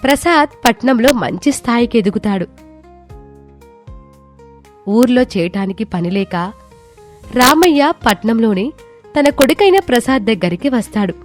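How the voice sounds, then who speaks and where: A woman's voice: a little high, lively intonation, a little slow, long pauses, soft background music. A woman storyteller reading a scripted moral tale as narration.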